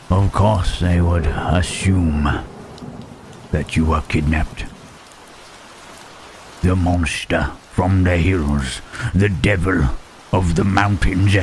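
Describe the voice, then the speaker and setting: Gentle lonely creature played by a man, very low voice, slow halting delivery, ASMR roleplay, rain and thunder behind. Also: background noise, exaggerated voice. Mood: wistful, gentle. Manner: halting, hushed, careful speech with long pauses. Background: rain and thunderstorm ambience.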